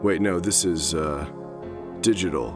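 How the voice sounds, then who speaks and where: A man playing a bewildered, grumbling retired grandfather in an audio drama, speaking in a halting stammer. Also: sits low. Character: crotchety, bewildered retired grandfather, newly dead and digitized. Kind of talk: scripted audio drama acting. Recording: music behind the voice.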